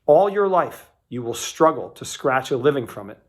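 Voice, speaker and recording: Male reader as commanding male deity, stern, accusing, emphatic, widely swinging pitch, scripture storytelling. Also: animated, normal speed, natural voice. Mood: solemn judgment.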